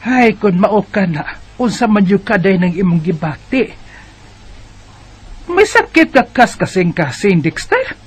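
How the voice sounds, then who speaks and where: High, husky worried mother. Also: sing-song, a little slow, full character voice. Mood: concerned. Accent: Filipino, Bisaya. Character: concerned mother questioning her sick son. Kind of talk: Bisaya radio drama acting.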